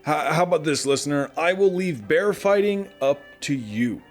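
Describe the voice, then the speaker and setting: Animated man playing a wasteland radio host. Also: fairly high pitch, moderate pace, faint music behind, close to an everyday voice. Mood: wry, flustered. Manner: conversational, addresses listeners directly. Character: chatty small-town radio host in a post-apocalyptic wasteland. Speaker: morning radio show host. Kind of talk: scripted radio show monologue, folksy.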